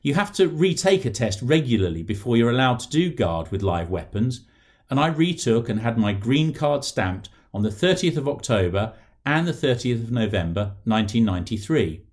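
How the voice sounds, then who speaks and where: A middle-aged man with a British accent, an author reading aloud from his non-fiction book in an articulate, deliberate and formal way. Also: medium pitch, big pitch swings, a little mellow.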